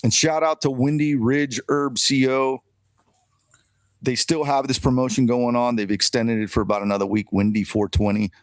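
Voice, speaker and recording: Laid-back male co-host, mid-pitched, casual plugs, no room sound. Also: fairly even intonation, no room sound. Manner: easygoing, says bro and man, quick jokes. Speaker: podcast co-host. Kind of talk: casual podcast chat, sponsor shout-outs.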